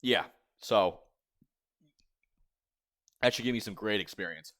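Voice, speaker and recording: A young man in his twenties talks casually to his livestream chat, his voice sitting somewhat low. He rambles and circles back on himself as he answers viewers. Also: slow, fairly bright tone. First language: English. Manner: rambling, chatty, reads chat aloud. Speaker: streamer.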